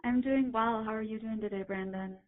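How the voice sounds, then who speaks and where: A woman's voice: a little high, steady tone, dry recording. Young woman explaining over a phone line. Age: young adult. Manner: measured, explanatory, with ums. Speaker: guest, retail writer and editor. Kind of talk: casual interview chat.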